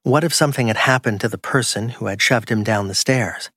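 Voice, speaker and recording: A man's voice: medium pitch, a little fast, bright, forceful tone, no room sound, clean studio sound. A man reading crime fiction as a scripted audiobook narration.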